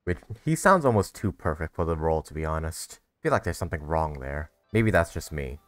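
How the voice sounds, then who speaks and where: A man's voice: extremely low pitch, very animated, fairly quick, dry recording. A man giving informal, reactive let's-play commentary, with game music behind him. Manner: informal, reactive, mild swearing. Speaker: gaming video host.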